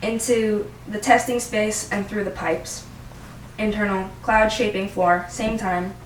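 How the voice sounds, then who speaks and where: A woman's voice: medium pitch, steady tone, unhurried. A young adult woman reading stage directions as the narrator of an amateur script read. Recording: roomy, distant mic, faint noise.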